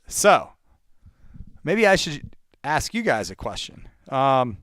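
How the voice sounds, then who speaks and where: A man's voice: medium pitch, sing-song, slow, fairly bright tone, a little hiss or hum. A man hosting a live calling session, talking informally and in a rambling way to his viewers between sales calls. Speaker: live sales-call host, real estate cold caller. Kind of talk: casual live-stream chat between phone calls.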